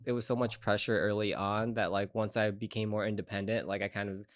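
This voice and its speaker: A man's voice: on the low side, little pitch movement, a little fast, no room sound. A young American man answering questions casually as a guest on a podcast. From Chicago, United States. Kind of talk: casual conversational interview answers.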